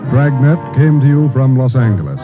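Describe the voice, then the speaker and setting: Male American announcer, solemn 1940s radio delivery, medium pitch, dull recording. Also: very animated, a little fast. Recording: muffled old radio broadcast, loud music.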